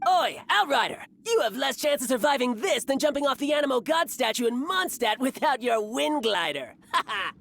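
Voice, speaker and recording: A woman's voice: high-pitched, sing-song, moderate pace, gravelly, hardly any pauses, fairly bright tone, slight background noise, exaggerated voice. A woman voicing a smug, cocky bandit thief in a video game, sneering and condescending. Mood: mocking.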